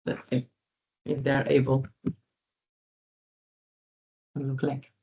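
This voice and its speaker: A woman's voice: rumbling low, monotone, extremely slow, vocal fry, dark tone. A woman moderating an online info session, friendly and a little hesitant. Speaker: event moderator. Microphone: video call. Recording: muffled video call audio.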